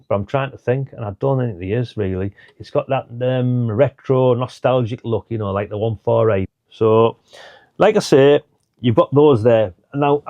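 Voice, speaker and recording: Middle-aged British man, lowish relaxed voice, informal hobbyist reviewer chatting through gear, close dry recording. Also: no echo, highs cut off. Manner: chatty, informal, thinking aloud. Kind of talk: casual product rundown to camera.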